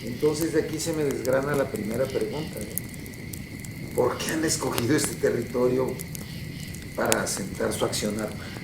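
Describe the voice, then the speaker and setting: Echoey sound with clear noise around it carries a man acting as a city journalist in a radio play. His mid-pitched talk is colloquial and halting, like a casual interview. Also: close to an everyday voice. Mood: casual curiosity. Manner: hesitant, colloquial. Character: informal city journalist interviewing guerrilla fighters. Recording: echoey, clearly noisy ambience.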